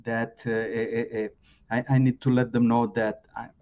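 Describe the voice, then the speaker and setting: A man in his fifties from Mexico, with a Mexican Spanish accent, speaking as a guest sales trainer on a podcast, halting often with fillers and repeated words. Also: medium pitch, little pitch movement, a little mellow. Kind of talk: podcast interview. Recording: slightly dull lo-fi sound.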